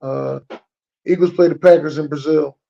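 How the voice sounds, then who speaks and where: A man's voice: a little high, fairly even intonation, normal speed, gravelly, warm tone, dull audio. A man hosting a casual interview, talking in a loose, slangy way and often repeating his phrases. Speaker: host.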